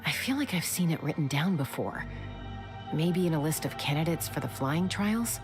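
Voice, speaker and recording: A woman's voice: sits low, lively intonation, normal speed, music in the background. A woman voicing a blunt, determined young woman flier in a video game, speaking decisively. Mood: curious.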